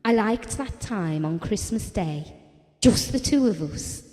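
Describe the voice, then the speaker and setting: A woman's voice: medium pitch, animated, slow pace, dark tone, long reverb tail. An actress playing a candid, self-deprecating wife who confides wryly and conversationally about her marriage in an intimate audio drama. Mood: candid, fond.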